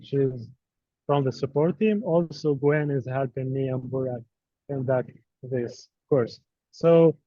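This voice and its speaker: A man's voice: on the high side, no echo. A native Arabic-speaking man teaching an online course, running a live quiz in a playful, teasing way. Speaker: course instructor. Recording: dull, dry online call audio.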